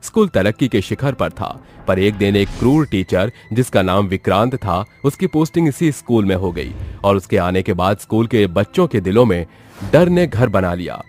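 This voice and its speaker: A man's voice: on the low side, lively intonation, normal speed, a little mellow. A man narrating a scripted horror story in a dramatic storytelling style.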